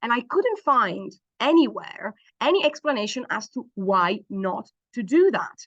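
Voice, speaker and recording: A woman's voice: on the high side, very animated, a little slow, a bit bright, dry recording. A woman researcher explains her eye-tracking work in a hesitant, searching way, full of ums and restarts. The call audio is dry and a bit muffled. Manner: hesitant, many fillers and restarts. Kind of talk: online workshop Q&A answer.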